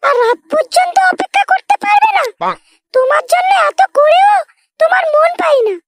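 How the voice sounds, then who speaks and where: Piercingly high brash cartoon wife. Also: female voice, moderate pace. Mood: reproachful. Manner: brash, complaining. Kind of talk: comic cartoon dialogue. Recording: loud tinkly children's music behind. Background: tinkly children's music.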